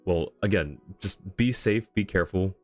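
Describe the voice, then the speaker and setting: A man with a low voice talks casually as a game streamer. He is easygoing and often thinks aloud, with faint music in the background. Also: normal speed, dry recording. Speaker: game livestreamer. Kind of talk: casual livestream chat.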